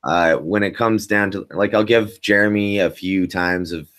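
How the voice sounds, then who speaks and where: A young man with a boomy voice, the host, talking candidly about his kids over a video call from a home studio. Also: fairly even intonation, normal speed, fairly bright tone. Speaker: podcast host. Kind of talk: casual interview chat.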